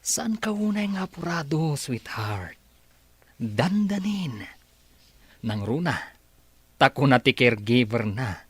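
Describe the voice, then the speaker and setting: A scheming male sweetheart in a radio drama, smooth-talking and crafty. The delivery rises and falls freely around a middle pitch. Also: big pitch swings, slow, long pauses, a bit bright, no echo, full character voice. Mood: sly, reassuring.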